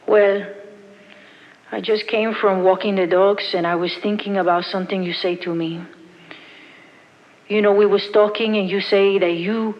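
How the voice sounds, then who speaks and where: A woman voicing a protective middle-aged Puerto Rican mother with a Puerto Rican accent, leaving a halting, repetitive voicemail. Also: mid-range voice, fairly even intonation, big-room sound, dull audio, pitched below the performer's own voice, close to an everyday voice. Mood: tender, worried. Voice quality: halting, repeating herself, broken English. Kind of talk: voicemail monologue in a stage show.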